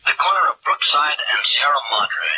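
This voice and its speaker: Lively American man on a muffled old radio, acted. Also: fairly high pitch, normal speed, natural voice. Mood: courteous, hesitant. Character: businesslike, courteous man on the telephone. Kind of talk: 1950s radio drama acting. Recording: muffled, hissy old radio, telephone-like sound.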